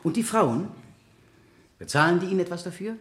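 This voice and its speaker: Sardonic lawyer in an echoey room. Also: male voice, high-pitched, expressive, brisk, a bit bright. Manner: probing, sardonic, rhetorical. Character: skeptical, incisive male lawyer cross-examining in court. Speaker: lawyer cross-examining a witness. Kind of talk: 1970 German radio play acting.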